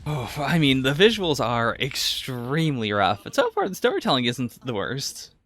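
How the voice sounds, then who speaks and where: A man co-hosting a podcast, giving casual, measured reaction commentary on anime. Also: medium pitch, wildly swooping pitch, fairly bright tone. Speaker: podcast co-host.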